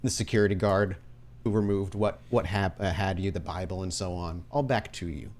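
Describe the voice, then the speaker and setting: A man's voice: low-pitched, moderate pace, a little mellow, a little hiss or hum. A man working as game master, narrating tabletop scenes descriptively.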